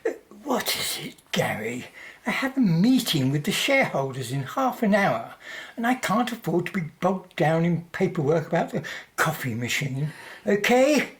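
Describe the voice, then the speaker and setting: Woman acting haughty executive, expressive. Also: lowish voice, moderate pace. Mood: impatient. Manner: curt, dismissive. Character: impatient, haughty senior company executive. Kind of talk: radio play acting.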